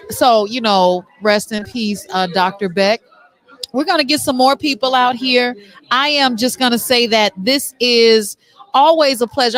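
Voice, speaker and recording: A woman's voice: fairly high pitch, a bit bright. A woman talk show host chatting informally and playfully as she interviews people on location. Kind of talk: casual on-location interview chat. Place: on location backstage at a theater. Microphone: handheld or stand mic with headsets.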